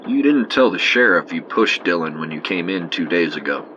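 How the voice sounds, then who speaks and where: An actor playing a cool, measured government investigator in an audio drama. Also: male voice, low-pitched, expressive, pushed and bright, highs cut off, slight background noise. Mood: detached. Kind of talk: audio drama acting, formal report.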